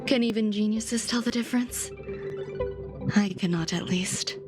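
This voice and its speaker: A woman voicing a soft-spoken, detached genius scientist in a game cutscene, calm and measured, at times dropping to a whisper. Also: medium pitch, natural voice. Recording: game audio with music behind. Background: music.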